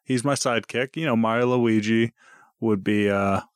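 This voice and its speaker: A podcast co-host, a man with a somewhat low voice in a dry recording. He talks in loose, casual banter with a jokey, self-mocking streak. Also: moderate pace, clear, resonant tone, no room sound.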